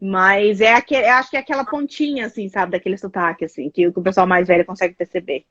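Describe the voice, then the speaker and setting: A woman's voice: mid-range voice, fast talker, dry recording, dull audio. A Brazilian woman with a Brazilian Portuguese accent, chatting casually as a guest, telling stories with lots of fillers. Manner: chatty, animated storytelling with many fillers. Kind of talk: casual chat.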